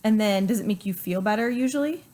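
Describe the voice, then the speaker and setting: A woman's voice: mid-range voice, animated, fast talker, dark tone. Coaxing middle-aged woman doctor. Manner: coaxing, asks leading questions. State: unwell. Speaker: doctor and host. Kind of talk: casual health video with her child.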